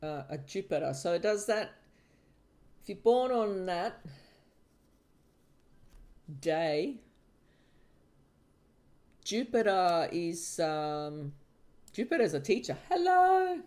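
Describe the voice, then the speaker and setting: A woman's voice: fairly low pitch, sing-song, slow, long pauses, darkish tone. A middle-aged woman from Finland who teaches palmistry, chatting casually on her livestream in a hesitant way, with many fillers and restarts. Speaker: palmistry teacher and channel host.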